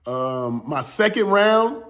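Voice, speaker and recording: A man and battle rapper with a strained, hoarse voice, recapping his round in loose, rambling casual talk. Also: sits high, big pitch swings, takes it slowly, fairly bright tone. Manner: loose, rambling, repeats "you know what I'm saying". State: losing his voice.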